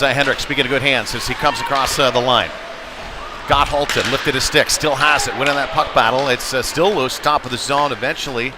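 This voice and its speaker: A man giving live play-by-play commentary of a youth hockey game, following the action and lifting his voice at big plays. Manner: running description with excited shouts at big plays. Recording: noisy arena with rumble and thuds.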